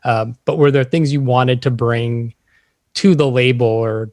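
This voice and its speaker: A man's voice: medium pitch, steady tone, a little slow, darkish tone, dry recording, clean studio sound. A man hosting a podcast, asking informal, roundabout questions in a casual chat. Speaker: podcast host interviewing guests.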